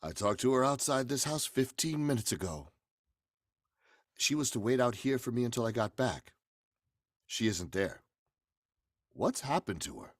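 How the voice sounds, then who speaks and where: An actor playing a menacing, commanding murderer in 1940s radio horror style, gravelly and theatrical. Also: male voice, medium pitch, big pitch swings, normal speed, pauses often, no echo, studio quality. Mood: suspicious, commanding. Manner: theatrical, forceful. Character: menacing male murderer, commanding, coldly confessing.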